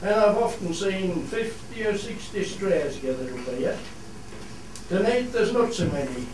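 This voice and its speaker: Radio play part: male actor as Cumbrian fell shepherd, plain and unhurried, very echoey, noisy recording. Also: high voice, lively intonation. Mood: explanatory. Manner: plain, explanatory, measured. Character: plain-spoken Cumbrian fell shepherd explaining local customs. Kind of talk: scripted radio play monologue in dialect. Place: theatre studio. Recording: echoey hall, distant mic, clear noise.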